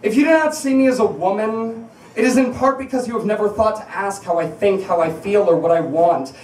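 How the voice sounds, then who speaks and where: Woman doing a fiery spoken word reading at a bar, confrontational, mid pitch, really echoey room. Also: a little mellow, far from the mic. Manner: emphatic, rhetorical, confrontational, with deliberate repetition. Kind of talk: impassioned spoken-word reading to a live audience. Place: indoors at a bar, live event.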